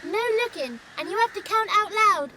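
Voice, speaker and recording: A woman's voice: very high-pitched, animated, normal speed, noisy background. A woman playing a plucky, wary young peasant child in a video game, blunt and artless. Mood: bossy, playful. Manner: blunt, artless, rustic grammar.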